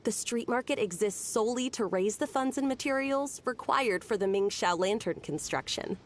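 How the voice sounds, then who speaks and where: A woman's voice: fairly high pitch, lively intonation, moderate pace, noisy background, close to an everyday voice. A woman voicing a friendly, chatty townswoman who explains things helpfully in a video game. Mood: friendly, informative. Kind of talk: video game character dialogue. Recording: music behind the voice.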